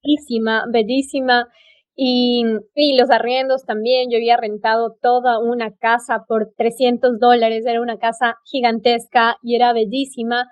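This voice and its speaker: A woman's voice: a little high, little pitch movement, moderate pace, clear, resonant tone. A woman with an Ecuadorian Spanish accent hosting a casual travel conversation, effusive and given to emphatic repetition. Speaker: podcast host.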